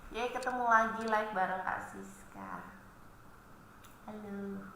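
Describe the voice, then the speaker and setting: A woman's voice: medium pitch, wildly swooping pitch, takes it slowly, fairly bright tone, a little hiss or hum. Stuffy-nosed woman in an echoey room. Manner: chatty, friendly, reads viewer comments aloud. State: stuffy nose from a cold. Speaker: live stream host. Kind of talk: casual live chat greeting viewers.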